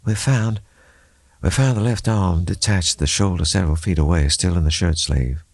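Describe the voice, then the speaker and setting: Troubled female officer read by a man, gentle, halting, slightly lifted pitch, close dry audiobook sound. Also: vocal fry, no echo. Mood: reluctant, grave. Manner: careful, hesitant, groping for words. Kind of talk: audiobook character acting.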